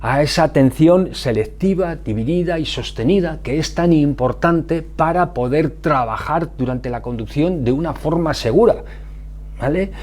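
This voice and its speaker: Male vlogger, peninsular Spanish accent, pitched a little high, didactic and emphatic informal explainer. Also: normal speed, a little mellow. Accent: Spanish from Spain. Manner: didactic, emphatic, asks and answers own questions. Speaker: motorcycle safety vlogger. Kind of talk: informal explanatory monologue to viewers.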